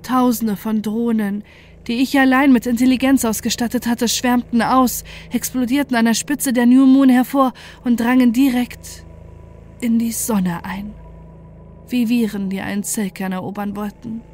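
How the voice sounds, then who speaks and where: A woman's voice: a little high, steady tone, normal speed, darkish tone, slight background noise, close to an everyday voice. A woman playing a defiant, desperate scientist who narrates a horror story in an intense, dramatic way. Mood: grave narration.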